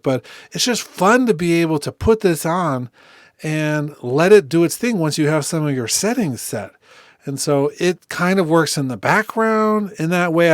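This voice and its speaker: A man giving a casual, conversational software walkthrough as a tutorial host. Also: a little high, no room sound, clean studio sound. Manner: informal, conversational.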